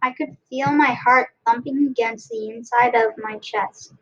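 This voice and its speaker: A woman reading a storybook aloud in a halting way, restarting phrases as she goes. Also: high-pitched, fairly even intonation, fairly bright tone, dull audio. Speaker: story reader. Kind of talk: read-aloud storybook narration.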